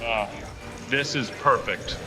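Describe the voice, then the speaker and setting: An actor playing an earnest, brainy and anxious scientist in a motion comic, talking in precise technical terms. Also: male voice, mid-range voice, takes it slowly, lightly acted. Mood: earnest, explaining. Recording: loud music behind.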